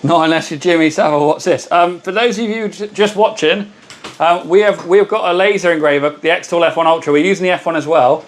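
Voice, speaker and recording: Hoarse man hosting a live stream. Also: on the high side, fairly even intonation, a little fast. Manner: chatty, greets viewers by name. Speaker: livestream host. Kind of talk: casual live-stream chat.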